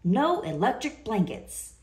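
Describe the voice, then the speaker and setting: A woman's voice: a little high, very animated, a little slow, voice pushed up. An indignant farmer from a children's storybook, acted out by a woman reading aloud. The delivery is emphatic and drawn out, with dramatic rises and falls. It sounds recorded in a roomy space. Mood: firm refusal. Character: exasperated, indignant male farmer. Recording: roomy indoor echo.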